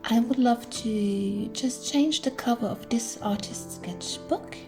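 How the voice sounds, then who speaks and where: Slow, gentle hoarse woman crafting. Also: fairly high pitch, music in the background. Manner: chatty, gentle, thinks aloud with many fillers. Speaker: crafting channel host. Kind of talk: casual crafting tutorial. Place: indoors at a craft table.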